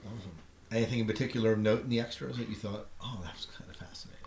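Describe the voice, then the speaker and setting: A man's voice: fairly low pitch, fairly even intonation, racing, a little mellow. A middle-aged man chatting casually and enthusiastically as a podcast host. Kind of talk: casual chat. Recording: clear background noise.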